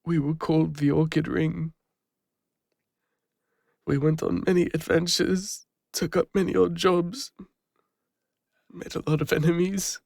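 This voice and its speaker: A gentle lone ranger acted by a man with an English accent, in an intimate audio roleplay. His voice sits a little high for a man, with a crackly edge. He speaks haltingly and bashfully, like a soft-hearted former healer confiding in someone close, in a very dry, close recording. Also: little pitch movement, vocal fry, dry recording. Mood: vulnerable, regretful. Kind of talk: intimate scripted audio roleplay addressed to the listener.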